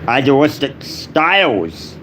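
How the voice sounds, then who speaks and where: A man delivering a solemn, improvised personal address in short, repetitive phrases with long pauses between them. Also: mid-range voice, sing-song, noisy background. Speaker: speaker giving a personal address.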